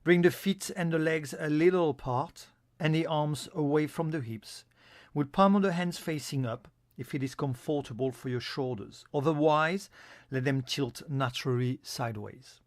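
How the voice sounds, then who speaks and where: A man giving a guided yoga nidra meditation as an instructor, in a calm, soothing, instructional manner. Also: a little high, moderate pace, no echo, clean studio sound.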